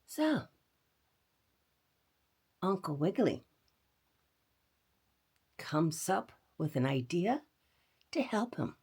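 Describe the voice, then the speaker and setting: A woman storyteller hosting a read-aloud for children, talking directly to her young listeners in an expressive, animated way. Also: on the low side, extremely slow, pauses often, slightly rough.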